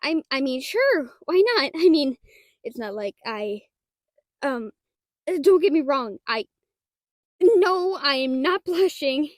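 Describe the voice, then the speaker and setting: Woman voicing shy half-dragon librarian girl, high voice, nerdy, hesitant stammer, flustered and smitten, dry intimate audio roleplay. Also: lively intonation, slow, long pauses, no echo. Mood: flustered, bashful. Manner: hesitant, stammering, bookish wording, easily flustered.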